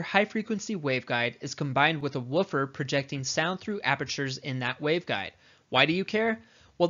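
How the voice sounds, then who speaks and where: A man with a somewhat high-pitched voice narrates a scripted training video. He explains step by step like an instructor, conversationally posing questions and answering them. The voice-over sounds slightly dull and lo-fi. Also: fairly bright tone.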